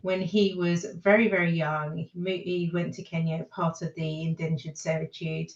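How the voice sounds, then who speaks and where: A British woman telling a personal story as a podcast guest, reflective and halting in her delivery. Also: lowish voice, little pitch movement. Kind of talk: personal storytelling in a panel discussion. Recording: echoey, dull lo-fi sound.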